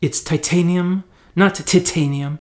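A man's voice: mid-range voice, expressive, brisk, pitched above the performer's own voice, natural voice. A man voicing an earnest, bookish young witch, an eager know-it-all girl, with emphatic, precise speech in a story. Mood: instructive.

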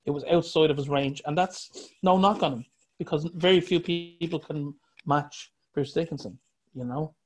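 A man with a fairly high speaking voice hosts a casual podcast chat. He weighs his opinions calmly and agrees readily with his co-host. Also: normal speed. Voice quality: higher singing voice. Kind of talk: casual music review chat.

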